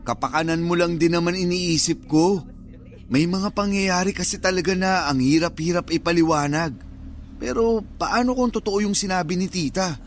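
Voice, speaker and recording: An actor playing a nervous, well-meaning young cousin in a radio drama, colloquial and concerned. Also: male voice, high voice, moderate pace, a little hiss or hum, close to an everyday voice.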